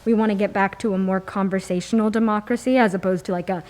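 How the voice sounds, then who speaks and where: A woman's voice: medium pitch, fairly even intonation, fast talker, a little mellow. Young female panelist, mid-range voice, articulate and considered in discussion. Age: young adult. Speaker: podcast panelist. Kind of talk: thoughtful discussion.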